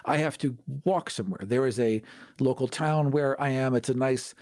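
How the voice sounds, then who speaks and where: A man's voice: mid-range voice, normal speed, darkish tone, no room sound. A man co-hosting a podcast, chatting casually and playfully about tabletop games in a conversational way. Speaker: podcast host.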